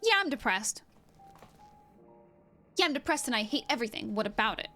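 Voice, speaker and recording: A woman's voice: a little high, sing-song, brisk, pushed and bright. A woman livestreaming a game with casual, blunt, slangy and sweary reactions. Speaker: streamer. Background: game music.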